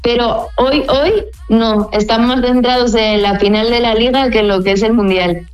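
A woman's voice: a little high, fairly even intonation, moderate pace. A woman from Spain with a mixed Spanish and Mexican accent, speaking friendly and conversational as a correspondent on a remote link. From Spain (Asturias).

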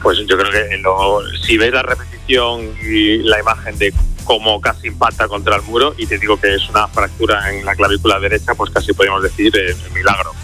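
A male motorsport reporter with a low but very bright, forceful voice. He lays out the news in a measured, informative way, pausing on fillers. Faint music plays underneath him. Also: flat delivery.

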